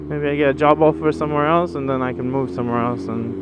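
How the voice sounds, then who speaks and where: Man talking over loud road noise. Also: mid-range voice, fairly even intonation, fast. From Brazil. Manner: rambling, loose, full of fillers and restarts. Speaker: motorcycle vlogger. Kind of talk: casual chat while riding. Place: riding a motorcycle on city streets outdoors. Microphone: action camera mounted on the motorcycle. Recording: muffled action-cam audio with loud road and engine noise.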